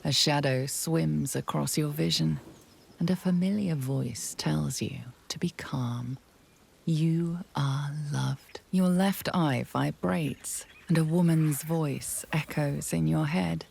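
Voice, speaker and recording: Low, creaky, hushed fantasy narrator. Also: female voice, slight background noise, exaggerated voice. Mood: ominous. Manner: measured, hushed, portentous. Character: hushed, ominous female storyteller narrating a fantasy adventure. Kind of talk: scripted game narration.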